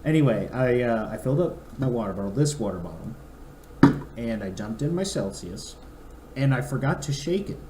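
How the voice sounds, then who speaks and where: A young man with a raspy throat, a podcast co-host chatting casually and reading a weather forecast aloud with asides. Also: mid-range voice, animated, normal speed. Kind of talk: casual chat. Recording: echoey room, faint noise.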